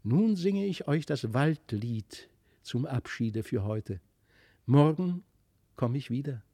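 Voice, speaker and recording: A man's voice: mid-range voice, expressive, takes it slowly, warm tone, slightly rough, no room sound, exaggerated voice. Storybook fairy child, read by a man, kindly and inviting, close dry sound. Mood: gentle promise.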